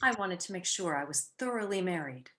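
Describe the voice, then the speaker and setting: A woman's voice: fairly low pitch, animated, a little fast, bright, forceful tone, slight background noise. A woman playing a clever, teasing young society wife with playful, wry delivery in a radio play.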